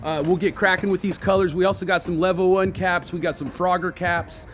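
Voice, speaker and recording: A man hosting a graffiti how-to, his voice hoarse, chatting casually and rambling in slang while he paints outdoors. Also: high voice, flat delivery, brisk, a bit bright, faint music behind. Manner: laid-back, rambling, slangy. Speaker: graffiti artist and channel host. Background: hip hop backing beats.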